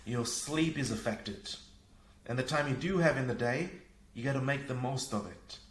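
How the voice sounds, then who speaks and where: Energetic fiji-born guy on a podcast, sounds kinda echoey, mid pitch. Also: moderate pace. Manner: energetic, upbeat, laughs easily. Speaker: podcast guest, parenting coach. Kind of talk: casual conversation. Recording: echoey room.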